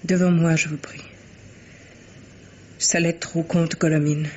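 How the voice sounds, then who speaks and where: A stern, devoted old governess in a vintage French radio drama, heard through a dull recording with background noise. The pitch stays flat, and the delivery is solemn, terse and commanding. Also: male voice, slow pace. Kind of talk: 1950s French radio mystery acting.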